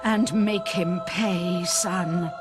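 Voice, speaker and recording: A woman playing a solemn ghostly mother in a fantasy game, speaking slowly and gravely to her son. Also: on the low side, steady tone, loud music behind the voice. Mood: solemn, anguished. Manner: slow, solemn.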